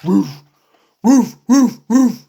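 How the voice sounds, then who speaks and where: A woman voicing a big scary dog with loud, blunt woofs in a children's picture book read-aloud. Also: on the high side, lively intonation, drawn-out words, exaggerated voice. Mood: barking loudly. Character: big barking dog, scary but nice.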